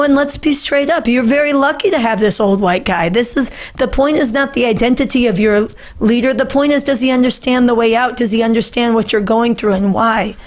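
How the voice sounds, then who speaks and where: A middle-aged woman hosting a political panel show, speaking with earnest, emphatic conviction and repeating phrases for stress, close on a studio microphone. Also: a little high. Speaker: show host. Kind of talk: impassioned political panel commentary. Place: small book-lined studio, indoors. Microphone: boom-arm podcast microphone, close.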